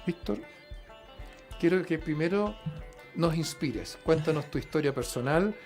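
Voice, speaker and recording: A man hosting a radio interview, talking conversationally with many fillers and quick affirmations. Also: fairly high pitch, animated, darkish tone, soft background music. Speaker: radio show host.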